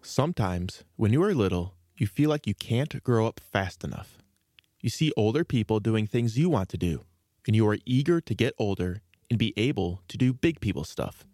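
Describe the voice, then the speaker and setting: A man hosting a children's story podcast, reading a scripted introduction in a measured, presentational way. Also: lowish voice, sing-song, warm tone, no echo, studio quality. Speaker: podcast host.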